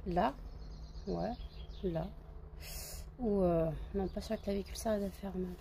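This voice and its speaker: A woman's voice: mid-range voice, steady tone, measured pace, gravelly, warm tone, slight background noise. A woman vlogger chatting casually to camera, rambling with many fillers and repeated words. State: just woken up, groggy.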